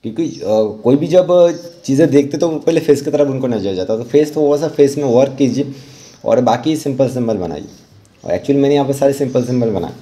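A man with a soft-edged, mellow voice at a medium pitch walks through a craft as its instructor. His delivery is informal and instructive, moving haltingly from one step to the next. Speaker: rangoli art instructor. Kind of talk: informal step-by-step tutorial narration.

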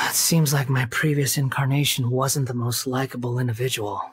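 A man's voice: mid-range voice, steady tone, fairly bright tone, soft background music, lightly acted. Man playing a composed, guarded young wanderer. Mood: reflective. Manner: measured, formal, reserved. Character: young male wanderer with lost past, polite, composed, guarded. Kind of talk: video game voice acting.

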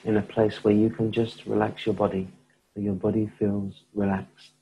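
Soft low-voiced man guiding meditation. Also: level tone, vocal fry, slightly rough, rough recording. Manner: slow, soft-spoken, pausing often. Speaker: meditation teacher. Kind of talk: guided meditation.

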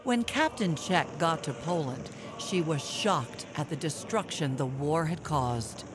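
A woman with a notably low voice narrates in a measured, formal voice-over. Clear music runs underneath her. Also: expressive. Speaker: documentary narrator. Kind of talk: scripted documentary narration.

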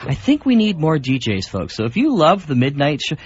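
Husky man bantering on radio. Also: on the high side, wildly swooping pitch, fairly quick. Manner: rambling, jokey, digressive. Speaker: community radio host. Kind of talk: casual unscripted co-host banter. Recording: dull lo-fi radio recording.

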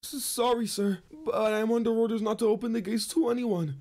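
Guy doing a scared timid dog townsman, high mellow voice, nervous, cartoon dub with music behind. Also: fairly even intonation, fairly quick. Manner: nervous, hesitant. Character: frightened, timid male dog townsman guard. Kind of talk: amateur comic dub acting.

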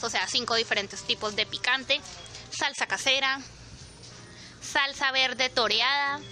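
Brief: young woman, chatty handheld vlog, high and very bright voice. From Colombia. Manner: chatty, expressive, addresses viewers directly. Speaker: vlogger. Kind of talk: casual walk-through vlog. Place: supermarket aisles, indoors.

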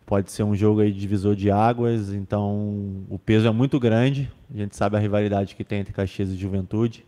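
A man's voice: on the low side, steady tone, normal speed, hardly any pauses, mellow, in a large hall. A young man, a football defender, answering press questions in a casual way, with many fillers and restarts, into a table microphone. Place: club press room with sponsor backdrop. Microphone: table microphone on stand.

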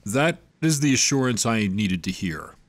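A man's voice: mid-range voice, expressive, moderate pace, no echo, close to an everyday voice. A secretive researcher who chooses his words carefully, played by a man. His voice sits in a plain middle range in this audio drama.

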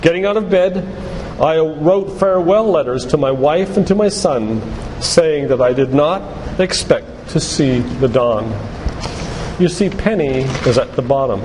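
An older man giving an earnest, deliberate sermon-like talk with stories and read-out quotations. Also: a little high, lively intonation, darkish tone. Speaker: physician and lay preacher giving a church talk. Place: church hall, live talk to congregation. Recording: reverberant church hall, background noise.

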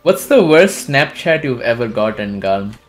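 Animated young male co-player, swinging pitch, music behind. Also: a little slow. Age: 20s. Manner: animated, swears freely. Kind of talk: casual gaming chat.

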